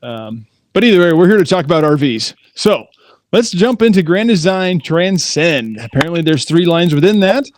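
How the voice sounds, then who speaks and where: A man's voice: on the high side, sing-song, normal speed. A man hosting a podcast, introducing his guest with friendly, joking banter. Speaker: podcast host.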